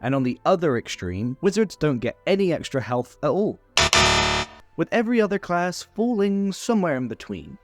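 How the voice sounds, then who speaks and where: A man narrating a scripted tutorial on tabletop game rules, recorded as a close voice-over. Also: fairly high pitch. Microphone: close voice-over microphone.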